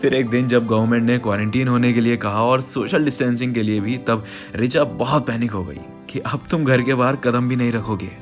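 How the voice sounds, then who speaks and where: An Indian man telling an emotional scripted story in an earnest, conversational way. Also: medium pitch. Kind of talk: dramatic, emotional scripted narration of a sad story. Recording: voice over background music. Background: music.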